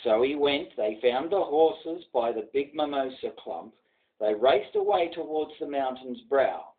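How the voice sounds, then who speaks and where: A man's voice: mid-range voice, lively intonation, a little slow, narrow, tinny audio. A man with an Australian accent giving a dramatic recitation of poetry. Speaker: poetry reader.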